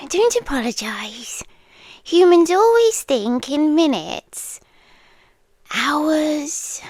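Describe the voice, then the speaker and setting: Woman voicing an ancient female monster, British accent, possessive and teasing, whispery ASMR roleplay, very drawn-out delivery, close dry sound. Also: high-pitched, no room sound, heavily acted. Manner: hushed, deliberate, often slipping into whispers, teasing. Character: ancient female monster, possessive, watchful, tenderly menacing lover. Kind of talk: ASMR roleplay, intimate slow dramatic monologue. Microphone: close, dry studio-style microphone.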